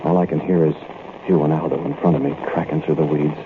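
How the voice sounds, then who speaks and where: An actor playing a nervous thief who narrates a night break-in in first person, in a 1940s radio drama. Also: male voice, very deep voice, steady tone, quick pace. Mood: uneasy. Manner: tense, confiding first-person storytelling. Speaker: narrator and lead character. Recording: tinny, noisy old radio recording.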